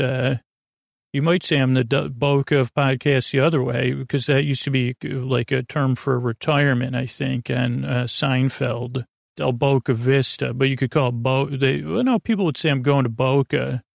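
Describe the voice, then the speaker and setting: A gravelly man rambles through a bedtime podcast monologue. He wanders off on tangents, stops and restarts, and leaves sentences hanging. The sound is close and dry, with a mid-range pitch. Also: no echo. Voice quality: creaky, gravelly. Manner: meandering, halting, tangential, with trailing unfinished sentences. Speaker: podcast host. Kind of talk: rambling bedtime monologue to help listeners fall asleep.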